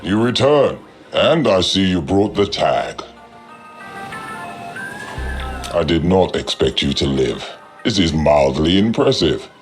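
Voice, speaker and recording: Haughty alien crime lord, rock-bottom pitch, gravelly rasp, menacing, deliberate, video game villain. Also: male voice, very animated, faint music behind, exaggerated voice. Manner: cold, pompous, deliberate threats. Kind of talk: video game cutscene acting.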